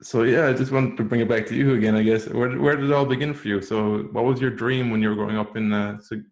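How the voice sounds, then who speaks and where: A man's voice: medium pitch, steady tone, fast talker. A man hosting an interview, asking casual questions. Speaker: podcast host.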